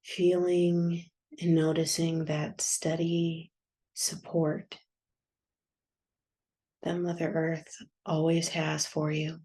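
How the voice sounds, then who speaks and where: A woman guiding a yoga nidra meditation in a soft, soothing, unhurried manner with long pauses. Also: low voice. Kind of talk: guided meditation.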